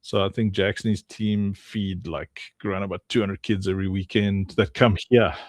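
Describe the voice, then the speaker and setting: A man's voice: sits low, fairly even intonation, moderate pace, no echo, studio quality. A man from Africa as an interview guest, talking about his charity work with many ums and restarts. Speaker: guest, charity and game founder. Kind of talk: interview answers.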